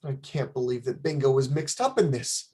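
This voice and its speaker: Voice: medium pitch, sing-song, normal speed, warm tone, lightly acted. A performer playing an anxious, sappy, lovestruck bridegroom in a detective radio play. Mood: worried.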